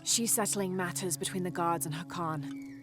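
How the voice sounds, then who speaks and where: A woman's voice: fairly low pitch, fairly even intonation, normal speed, music in the background, lightly acted. An actress playing a determined young woman adventurer, focused and understated. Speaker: explorer.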